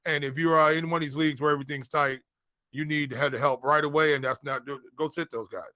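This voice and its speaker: A man's voice: a little high, fairly even intonation, fast, sharp tone, dry recording. A man co-hosting a casual fantasy football show, talking colloquially and repeating phrases for emphasis. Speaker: fantasy football podcast co-host and analyst. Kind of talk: casual sports talk.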